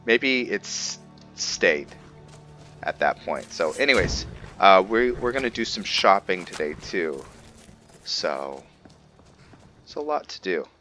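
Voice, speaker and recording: Low-voiced man, unhurried gaming commentary. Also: sing-song, pushed and bright, faint music behind. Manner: informal, thinking aloud to viewers. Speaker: gaming video host. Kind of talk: casual gameplay roleplay commentary.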